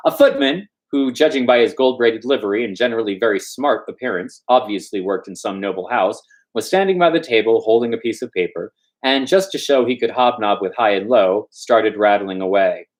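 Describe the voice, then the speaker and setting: A man's voice: fairly low pitch, fairly quick, few pauses. A man reading a story aloud informally as host, stumbling over words now and then and slipping in loose asides.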